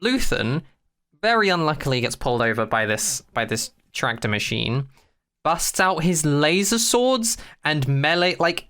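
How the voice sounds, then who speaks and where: A podcast co-host chatting casually about a TV show in an animated, incredulous, sweary way. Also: medium pitch, a little slow, clear, resonant tone.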